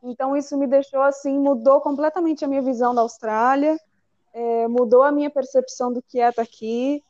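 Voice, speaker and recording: A young adult Brazilian woman talking in a casual, expressive and emphatic way about personal things. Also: high voice, a little fast, no echo, highs cut off.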